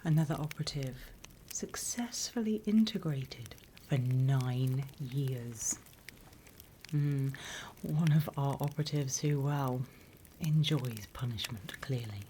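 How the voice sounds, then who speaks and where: A British woman playing a sly demon instructor in a whispered ASMR roleplay, unhurried, wry and smugly scornful of humans. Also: a bass voice, slight background noise. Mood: calm, instructive. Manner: unhurried, hushed, teacherly, wry.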